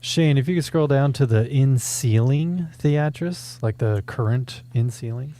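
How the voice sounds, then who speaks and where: A man's voice: mid-range voice, a little slow, warm tone. A man co-hosting a show, asking questions and chatting casually in an interview.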